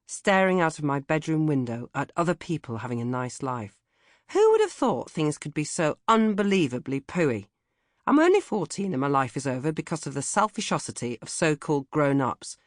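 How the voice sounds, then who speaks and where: A woman's voice: low-pitched, sing-song, normal speed, no room sound, pitched below the performer's own voice. A sulky, self-pitying British teenage girl acted by a woman reads out her comic diary. Her voice swoops up and down with theatrical indignation, in a close, dry recording. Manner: exaggerated, indignant, theatrical complaining. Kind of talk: comic first-person audiobook diary narration.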